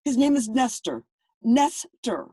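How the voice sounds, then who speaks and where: A woman playing an exasperated, fussy middle-aged wife and mother in a radio play, sharp-tongued and insistent. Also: fairly high pitch, expressive, slow pace, breathy edge, no echo, studio quality, exaggerated voice. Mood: irritated.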